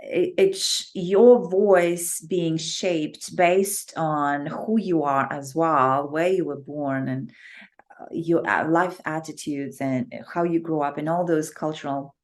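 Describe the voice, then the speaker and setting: A woman hosts an interview with a notably low voice for a woman, keeping things encouraging and repeating her approval often. She asks her questions in a loose, conversational way. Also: steady tone, slow. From outside the US. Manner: warmly affirming, repeats "I love that". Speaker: podcast host. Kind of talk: interview hosting.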